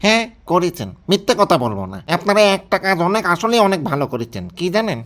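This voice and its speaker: A man acts as a very fast-talking Bangladeshi interviewer in a comic phone-call sketch. He is exasperated and incredulous, scolding in colloquial speech with biting sarcasm. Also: high voice, very animated, a bit bright. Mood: sarcastic.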